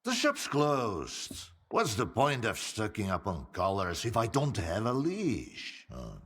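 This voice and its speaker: An actor playing a boozy, sardonic older scientist in a video game, theatrical and philosophising, with a husky, gravelly voice. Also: male voice, lowish voice, huge pitch swings, takes it slowly, a bit bright, in a large hall, full character voice. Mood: teasing, dismissive. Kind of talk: video game cutscene acting.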